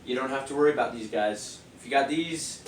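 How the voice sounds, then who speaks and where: A man's voice: medium pitch, brisk, reverberant, distant mic, a little hiss or hum, rough recording. A man reviewing party speakers in a loose, rambling way, sniffly and a little nasal from a cold. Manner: rambling, loose, many fillers. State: stuffy nose, sniffly. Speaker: product reviewer. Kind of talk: casual product review.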